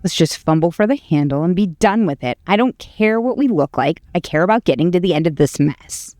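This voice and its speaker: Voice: medium pitch, expressive, a little fast, a little mellow, no echo, clean studio sound, natural voice. Cocky, battle-hungry inner voice acted out. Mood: impatient. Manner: blunt, defiant, dismissive. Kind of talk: video game voice acting.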